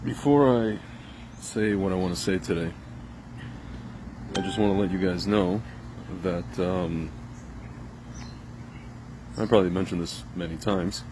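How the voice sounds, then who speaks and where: A man's voice: deep voice, measured pace, pauses often, noisy background. A man born in Ukraine talking casually to his viewers, rambling with fillers and restarts. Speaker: vlogger. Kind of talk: casual opinion monologue to camera.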